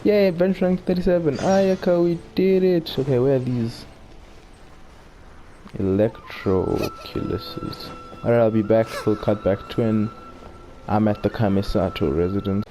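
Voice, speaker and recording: A man's voice: medium pitch, huge pitch swings, measured pace, dark tone, soft background music. A man hosting a gaming video with casual, joking commentary, playful and theatrical.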